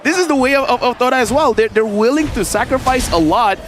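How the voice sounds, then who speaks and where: A man's voice: very high voice, fast talker, over music. A man giving conversational analysis as an esports commentator during a live game, acting out what players might think. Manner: conversational, voices players' imagined thoughts.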